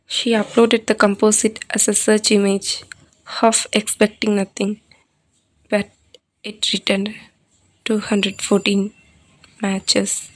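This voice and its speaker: Female horror narrator. Mid-pitched, evenly measured, deliberate and dramatic storytelling. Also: monotone, low-quality recording.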